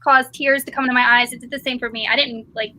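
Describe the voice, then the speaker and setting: Woman moderator, informal and conversational. High-pitched, crisp forward tone, background noise on a call recording. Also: fairly even intonation, fast talker, pushed and bright. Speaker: host of book discussion live show. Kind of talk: casual moderated chat.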